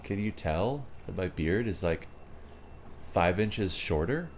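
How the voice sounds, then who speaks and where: Deep-voiced man streaming a game. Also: animated, takes it slowly, a little hiss or hum. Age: 30s. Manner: chatty, talks to chat viewers, reads their messages aloud. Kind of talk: casual live-stream chat while gaming.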